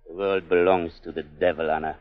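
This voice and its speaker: Actor playing a low-voiced sinister power-mad villain. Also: male voice, flat delivery, fairly quick, natural voice. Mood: menacing, grandiose. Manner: theatrical, menacing, swinging from hushed to ranting. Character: sinister, power-mad man menacing his female accomplice. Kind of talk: 1940s radio acting. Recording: dull 1940s radio broadcast, music behind.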